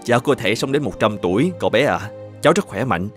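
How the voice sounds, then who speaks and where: A man voicing a kindly, reassuring middle-aged doctor in an animated moral tale. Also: medium pitch, quick pace, faint music behind, close to an everyday voice.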